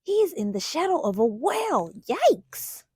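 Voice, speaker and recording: A woman's voice: high-pitched, sing-song, a little slow, warm tone, no echo. A woman hosting a children's storytime, reading a picture book aloud expressively and putting questions to young listeners. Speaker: children's storytime host and reader.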